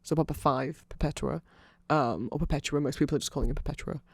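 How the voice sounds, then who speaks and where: A man's voice: on the high side, steady tone, fast, creaky, dry recording, clean studio sound. Man playing a nerdy rambling vampire, lightish voice. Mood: earnest, sympathetic. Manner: rambling, filler-heavy, earnest fannish enthusiasm. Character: nerdy rambling male vampire explaining band lore. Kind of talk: rambling ASMR explainer.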